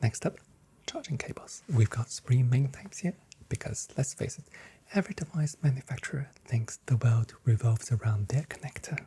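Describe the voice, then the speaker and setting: Soft, mellow whispering ASMR voice, sex ambiguous. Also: medium pitch, animated, moderate pace, low-quality recording. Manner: soft-spoken, breathy whispering. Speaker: ASMR performer playing a shop assistant. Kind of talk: whispered ASMR shop role play.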